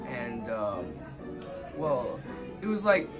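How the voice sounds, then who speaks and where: A man's voice: medium pitch, sing-song, extremely slow. A man giving casual interview answers, full of fillers. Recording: loud music bed.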